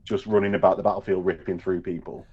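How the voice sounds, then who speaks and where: A man co-hosting a casual hobby chat, relaxed and hesitant, often repeating words. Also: low-pitched, quick pace, husky, dull audio. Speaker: co-host.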